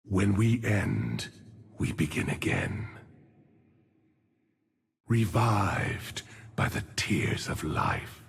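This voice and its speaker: Weary gravedigger necromancer, game champion: husky, solemn, swings from murmur to command, very unhurried. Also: male voice, sing-song, full character voice. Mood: weary, resolute. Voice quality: gravelly, husky, breathy low voice. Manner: slow, grave, brooding delivery. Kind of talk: video game champion voice lines. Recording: long cavernous reverb on the voice.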